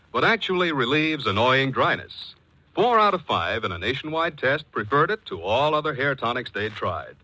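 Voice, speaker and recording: A man's voice: medium pitch, bright, forceful tone, rough recording. An American man announcing a 1940s radio show and its commercials with polished, emphatic salesmanship.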